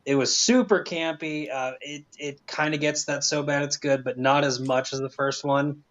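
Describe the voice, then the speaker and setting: A man's voice: on the high side, fairly even intonation, normal speed, fairly bright tone, highs cut off. A man giving his opinions in casual, informal talk as a panelist. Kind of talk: casual movie opinion chat.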